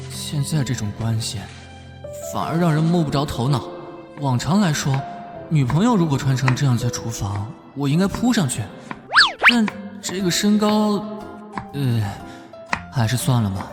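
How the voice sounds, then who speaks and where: A male actor voicing an earnest, good-natured, easily flustered police detective in an animated drama. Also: mid-range voice, lightly acted. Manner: conciliatory, earnest.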